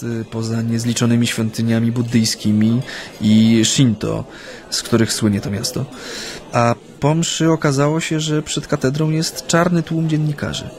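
An actor in a naturalistic radio drama playing a proud, homesick exiled Japanese activist, speaking hesitantly and reflectively with humming pauses. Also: male voice, medium pitch, lightly acted. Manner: hesitant, reflective, pausing with hums.

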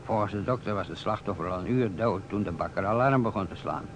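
A man's voice: on the low side, fast talker, lightly acted. A man acting a persistent, businesslike police inspector in a Dutch radio play, asking probing questions. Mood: dogged. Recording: old radio recording, faint noise.

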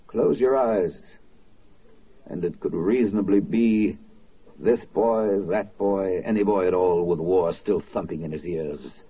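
A lowish, husky narrator, solemn and oratorical, in the grand style of 1940s radio drama. The phrasing is deliberate, on a dull vintage recording. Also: male voice. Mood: solemn, commanding. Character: solemn, rhetorical narrator addressing the listener.

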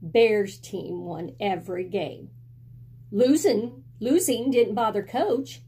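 A middle-aged woman reading a picture book aloud in an expressive, deliberate storytelling style, indoors at home. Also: mid-range voice, husky. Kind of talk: expressive children's read-aloud. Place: small indoor room at home with bookshelves.

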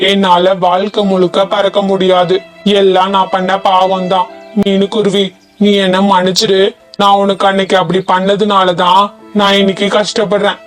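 A high male voice with little melodic movement, playing a vain young sparrow acted by a man. He sounds self-important and emphatic in children's cartoon style, with light music behind him. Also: completely flat delivery, moderate pace, close to an everyday voice. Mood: regretful. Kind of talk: children's cartoon story voice acting.

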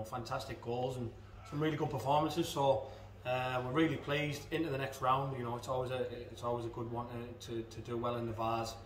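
A man in his thirties gives a post-match interview as assistant football manager, talking in an even, level tone and restarting his sentences often. His voice bounces around a roomy space, picked up from a distance. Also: mid-range voice, a little hiss or hum. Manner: hesitant, many fillers and restarts. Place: indoors in front of a club sponsor backdrop. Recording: echoey room, mic at a distance.

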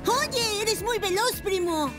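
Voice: extremely high pitch, animated, slow pace, over music, full character voice. An actor voicing a confident, breezy young boy motorbike, a friendly show-off in a children's cartoon. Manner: breezy, self-assured. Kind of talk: animated children's cartoon dub.

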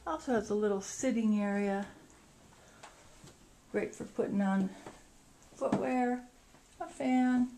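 A woman's voice: a little high, warm tone. A woman hosting a casual walk-through tour of a rental house, speaking in short descriptive phrases as she moves from room to room. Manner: short descriptive phrases while walking about. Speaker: vacation rental host giving a house tour. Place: indoors, moving through rooms of a house. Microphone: handheld camera's built-in mic. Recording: noisy handheld camera recording.

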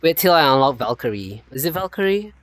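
A male streamer with a light non-native accent rambles in casual chat. His voice leaps high and drops low. The sound is dry, without room echo. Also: fast. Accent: non-native, unnamed. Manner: animated, rambling, laughs often. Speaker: streamer host.

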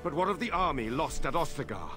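A man's voice: fairly high pitch, lively intonation, fast, lightly acted. An actor playing a defiant, principled nobleman in a fantasy game, speaking formally and pointedly. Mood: pointed, accusing. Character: defiant, principled noble lord challenging a regent. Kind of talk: dramatic fantasy game acting. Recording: loud music behind.

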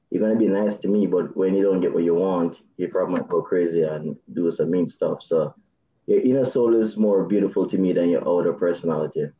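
A man in his thirties with a Jamaican accent, chatting on a video call as a dating show contestant, soft-spoken with nervous laughs. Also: deep voice, echoey room, lo-fi sound. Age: 30s. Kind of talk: casual video call chat.

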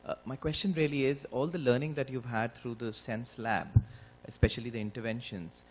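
A man asking a measured, articulate question from the audience in a large lecture hall. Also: medium pitch, fairly even intonation, slight background noise.

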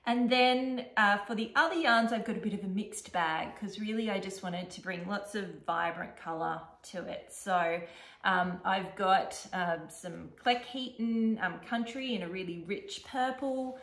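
A middle-aged woman with an Australian accent chatting casually as a knitting podcast co-host, often pausing to think aloud. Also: medium pitch, fairly bright tone. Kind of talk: casual chat showing knitting projects. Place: living room, seated on a couch. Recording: echoey room.

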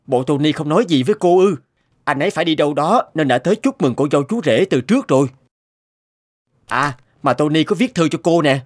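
A man playing an earnest, patient young man in dubbed animated dialogue. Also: on the high side, a little fast, dry recording. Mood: brisk. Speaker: voice actor in an animated moral tale. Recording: music behind the voice.

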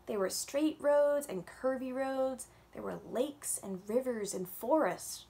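A young woman reads a children's picture book aloud at story time, stretching out her words expressively. She sounds like a lively children's librarian. The audio comes from a webcam in a room at home. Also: sits high, gravelly. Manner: animated and expressive, drawn-out storytelling. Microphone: webcam on a video call.

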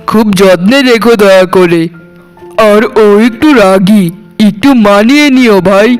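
A man's voice: high-pitched, clear, resonant tone, lightly acted, rough recording. A man voicing a doting, sentimental elder brother of a bride in a cartoon, speaking in a tender, pleading way. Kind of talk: animated cartoon dialogue.

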